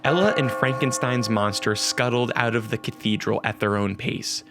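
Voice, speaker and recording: A man narrating a scripted radio drama in a measured, theatrical storytelling manner. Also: medium pitch, fairly bright tone. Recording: clear music behind.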